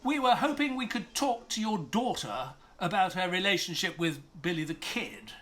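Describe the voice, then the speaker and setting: An actor playing a loyal, polite doctor who narrates and speaks in an earnest, somewhat hesitant way. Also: male voice, high-pitched, animated, normal speed, a bit bright, soft background music.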